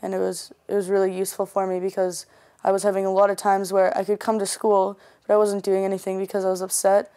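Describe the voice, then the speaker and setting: Young woman talking in an office, really flat tone, mellow dark voice. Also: mid-range voice, fast talker. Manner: earnest, steady, even. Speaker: former student. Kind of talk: interview testimonial. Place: indoor office.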